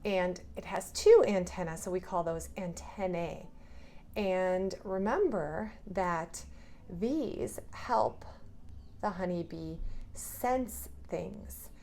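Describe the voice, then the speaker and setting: Female preschool teacher for a children's craft lesson; unhurried, instructive, mid-pitched, with slight noise behind. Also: mellow. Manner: instructive, asks the listener simple questions. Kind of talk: hands-on lesson for young children. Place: indoors at a table, drawing on paper cards.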